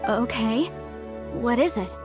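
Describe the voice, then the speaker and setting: A woman's voice: fairly high pitch, takes it slowly, over music. A woman playing an earnest young researcher in a video game, polite but stubborn and pointed. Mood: indignant.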